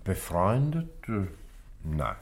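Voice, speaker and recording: A man's voice: low voice, very animated, slow pace, full character voice. An actor playing a candid family doctor in a German radio drama, hesitant and reflective as he talks.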